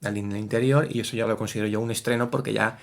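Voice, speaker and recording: A man, co-hosting a casual culture podcast as a theatre director, animated and playful, exclaiming often. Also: on the low side, fast talker, gravelly, a little hiss or hum. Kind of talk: casual chat.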